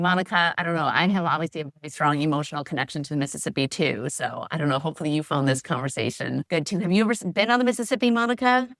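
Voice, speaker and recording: Low-voiced middle-aged woman hosting. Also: fairly bright tone, no room sound, studio quality. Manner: rambling, thinks aloud with fillers. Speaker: podcast co-host. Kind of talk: conversational interview.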